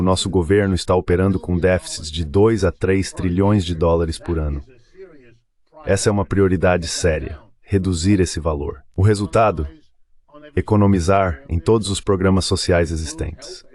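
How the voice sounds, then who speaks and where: A man speaks in a low voice with a Brazilian accent. He delivers a dubbed translation of an interview as a voice-over. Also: a little mellow.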